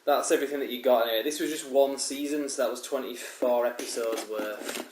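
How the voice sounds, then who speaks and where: A man's voice: medium pitch, fairly even intonation, brisk. A man with a head cold, rambling casually through a DVD collection review with many ums and restarts. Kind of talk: casual show-and-tell review. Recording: roomy echo, loud background hiss.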